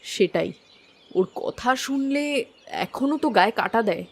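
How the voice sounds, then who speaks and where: A woman's voice: fairly high pitch, expressive, moderate pace, creaky, husky, exaggerated voice. A woman playing an awed, uneasy gossiping villager in a cartoon fairy tale.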